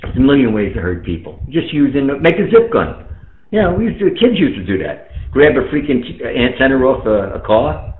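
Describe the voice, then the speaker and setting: Through a narrow, tinny radio link with noticeable room echo comes an American man with a mid-pitched voice. He talks casually but emphatically, with streetwise phrasing and repeated lines for effect. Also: big pitch swings, moderate pace. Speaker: amateur radio operator in a round-table chat. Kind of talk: casual chat over the radio. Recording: tinny, echoey radio transmission.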